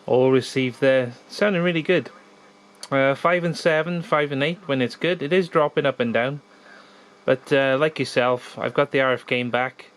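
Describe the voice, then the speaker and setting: A man with a middle-pitched voice speaks as a radio amateur, with faint hiss behind him. His chat is easygoing and measured, dotted with call signs.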